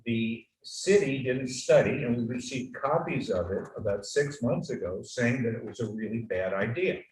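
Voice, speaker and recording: A man, a resident, giving a loud, earnest public comment at a town hearing and growing more forceful as he goes. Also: mid-range voice. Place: town meeting room. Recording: echoey hall, mic far off, faint noise.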